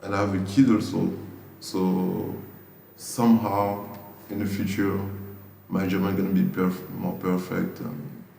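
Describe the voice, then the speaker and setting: Deep gravelly French-accented man, roomy sound. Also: flat delivery, warm tone. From Guadeloupe. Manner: slow, thoughtful, restarts phrases while searching for words. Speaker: artist interviewee. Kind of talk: reflective interview answers. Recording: roomy echo, distant mic, faint hiss.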